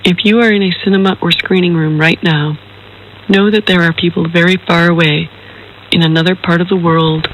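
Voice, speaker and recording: A man reading a scripted introduction in a measured, formal, careful way as the maker of the work. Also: a little high, fairly bright tone, slight background noise, poor audio. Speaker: filmmaker introducing their own film and radio piece.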